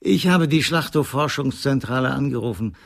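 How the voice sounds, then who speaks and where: Older doctor near retirement in a radio play, dry, obliging, creaky mid voice. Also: male voice, mid-range voice, animated, normal speed. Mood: matter-of-fact. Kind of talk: radio drama acting.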